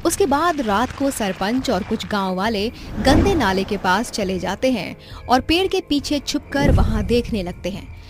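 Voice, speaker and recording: A woman's voice: on the high side, animated, a little slow. A woman reading a scripted story as its narrator, with music behind her. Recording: loud music under the voice. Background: background music.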